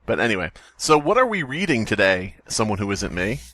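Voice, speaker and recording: A man's voice: fairly low pitch, sing-song, a little fast, pushed and bright, no echo. A man hosting a casual comedy podcast, riffing and joking as he chats. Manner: jokey, riffing. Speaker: podcast host.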